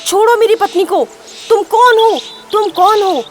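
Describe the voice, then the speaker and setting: Frightened village husband for a horror tale, voiced by a woman. High, loud, panicked, music underneath. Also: unhurried. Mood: alarmed, defiant. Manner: shouting, panicked. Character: frightened village husband defending his wife, calling for help.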